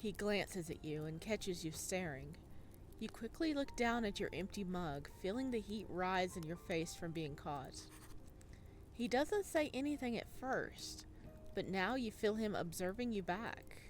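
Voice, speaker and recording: A playful, expressive woman streamer reading a visual novel aloud and adding her own reactions. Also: medium pitch, measured pace, slight background noise. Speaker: video game streamer.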